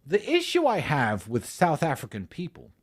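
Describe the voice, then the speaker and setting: An angry man ranting to a live audience, his pitch jumping all over as he swears and hammers his points. He swings from loud outbursts down to low mutters, with a fairly high voice for a man. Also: huge pitch swings, normal speed. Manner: angry, profane, emphatic; swings between shouting and muttering. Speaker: livestream host.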